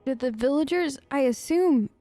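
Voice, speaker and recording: A woman's voice: sits high, lively intonation, normal speed, dark tone, no echo. A woman streamer role-playing her character in a game, chatty and emotive, with hesitant fillers. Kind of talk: role-play let's play chat.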